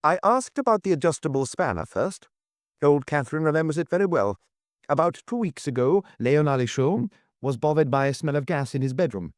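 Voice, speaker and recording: A man's voice: a little high, lively intonation, brisk, dry recording, clean studio sound, voice pushed up, natural voice. A man voicing a diligent police officer who reports in a methodical, detailed way, in an audiobook.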